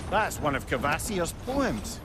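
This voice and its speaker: An actor playing a witty, learned older advisor with a Scottish accent in a video game, wry and eloquent. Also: male voice, a little high, big pitch swings, slow pace, noisy background. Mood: wry, chatty.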